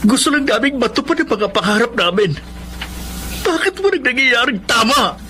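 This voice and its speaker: High-voiced, frustrated young Filipino striver. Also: male voice, lively intonation, moderate pace, over music. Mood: despairing. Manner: emotive, repeats phrases for emphasis. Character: Roman, hapless striving young man, frustrated, defensive dreamer. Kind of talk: Tagalog radio drama acting.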